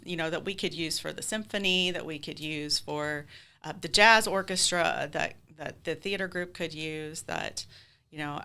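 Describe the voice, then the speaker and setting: A woman's voice: on the low side, creaky, sharp tone. A middle-aged woman answering interview questions as a community theater leader, speaking in an earnest, heartfelt, conversational way.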